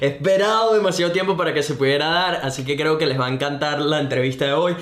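A young Venezuelan man with a Venezuelan accent, hosting a podcast in an energetic, enthusiastic, slangy way. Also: on the high side, fast talker. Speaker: podcast host. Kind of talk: upbeat podcast intro and interview. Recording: roomy echo.

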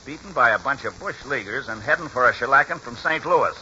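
An actor playing a folksy, exasperated old ball-club trainer in a 1940s radio drama, with slangy, ungrammatical talk. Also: male voice, mid-range voice, expressive, fairly quick, bright, forceful tone. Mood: rueful storytelling. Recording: muffled, hissy 1940s radio recording.

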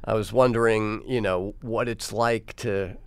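A man's voice: lowish voice, steady tone, unhurried, clean tone, dry recording. A man hosting a radio interview in a studio, asking curious, conversational questions. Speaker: radio host. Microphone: studio mic.

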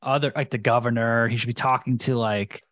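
A man's voice: medium pitch, fairly even intonation, fairly quick, a bit bright. A man in a casual, joking podcast conversation about movies, often acting out lines. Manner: joking, acts out quotes. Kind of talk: casual comedic chat.

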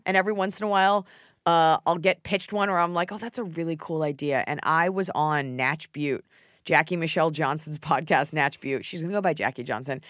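A woman's voice: on the low side, a bit bright, no echo. A woman hosting a podcast in a studio, chatting casually in a joking, candid way. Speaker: podcast host. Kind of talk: casual chat.

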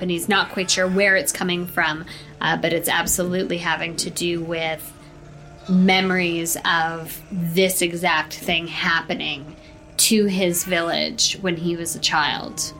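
A woman describing her character in a tabletop game, measured and thoughtful, over faint music. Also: fairly low pitch, pushed and bright, poor audio. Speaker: player.

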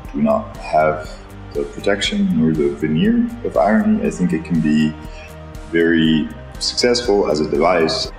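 A man from Switzerland talking casually and reflectively as a songwriter, in a halting, thoughtful way. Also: a bass voice, echoey room, low-quality recording. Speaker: singer-songwriter. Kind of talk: reflective, casual interview talk.

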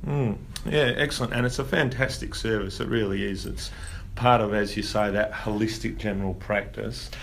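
Gravelly-voiced man hosting. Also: lowish voice, steady tone, normal speed, few pauses, fairly bright tone, a little hiss or hum. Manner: friendly, encouraging interviewer. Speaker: podcast host, doctor. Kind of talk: podcast interview.

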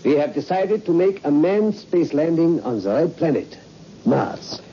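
A man's voice: on the high side, very animated, unhurried, mellow. An actor playing an eager, fussy elderly male scientist in an old radio suspense play. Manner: fussy, repetitive, hesitant then emphatic. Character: excitable elderly male scientist, eager, absent-minded professor. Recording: muffled, noisy old radio recording.

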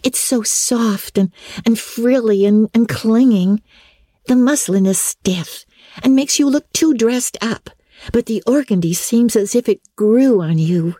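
A woman's voice: a little high, measured pace, dark tone, no echo, clean studio sound, voice pushed up. A woman voicing a vivacious, bossy and affectionate teenage girl who fusses over her best friend in a storybook reading. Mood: gushing, insistent. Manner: gushing, decisive, affectionate.

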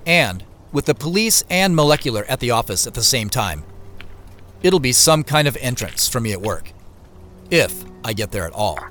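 A man narrating his own troubled working day in an audio drama, wry and measured. His pitch moves freely, with clear noise behind him. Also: very animated, a bit bright. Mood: wry, uneasy.

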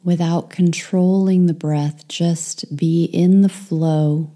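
A woman leading a guided meditation, speaking softly and soothingly, with some phrases whispered. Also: fairly low pitch, steady tone, slow.

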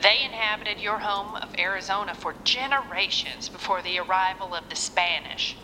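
Actress as a bright, gracious Southern preacher's wife. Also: female voice, medium pitch, moderate pace, big-room sound, a little hiss or hum, natural voice, poor audio. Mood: serenely devout. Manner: gentle, formal, scripture-quoting. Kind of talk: audio drama acting.

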